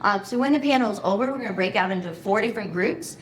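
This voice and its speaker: A woman's voice: mid-range voice, fast, slight background noise. Upbeat woman moderating a student panel. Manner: upbeat, organizing, filler-heavy. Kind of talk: addressing a room of students. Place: high school room. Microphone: handheld microphone.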